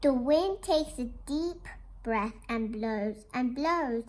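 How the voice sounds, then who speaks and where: A woman's voice: high-pitched, expressive, takes it slowly, slight background noise. A young girl reads a children's picture book aloud, explaining it and asking questions like a little teacher. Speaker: young storybook reader.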